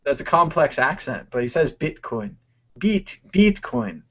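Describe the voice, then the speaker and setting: A man's voice: fairly high pitch, sing-song, a little slow, fairly bright tone, lo-fi sound, slight background noise. A man hosting a casual live-stream talk show, joking around and laughing often. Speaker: host.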